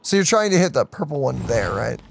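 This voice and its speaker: A man's voice: medium pitch, sing-song, fairly quick, vocal fry, slight background noise. A man co-hosting a let's play in casual, chatty, opinionated gaming talk.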